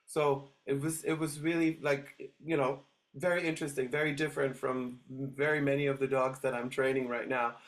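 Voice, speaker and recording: A man's voice: medium pitch, level tone, moderate pace. A middle-aged man talks through his story in a casual interview. He hesitates often and restarts his sentences, filling gaps with "um" and "uh.". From Switzerland. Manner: hesitant, many restarts and fillers. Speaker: dog trainer guest.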